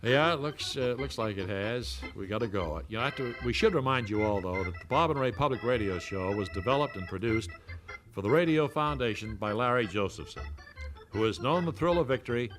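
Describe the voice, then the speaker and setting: A man's voice: mid-range voice, lively intonation, brisk, over music. A man hosting a comedy radio show, signing off with dry, offhand jokes. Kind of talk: casual sign-off.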